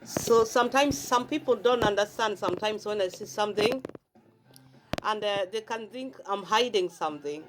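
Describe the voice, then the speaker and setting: A woman's voice: mid-range voice, normal speed, rough recording. Kenyan woman, Swahili native, casual devotional vlog talk, faint music under her. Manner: repeats phrases, explains herself at length. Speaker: vlogger sharing faith. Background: faint gospel song playing.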